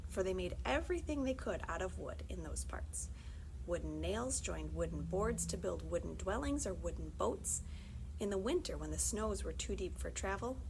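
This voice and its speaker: A woman's voice: lowish voice, gravelly, slight background noise, rough recording. A woman reading a children's story aloud, breaking off for chatty, informal asides to her young listeners.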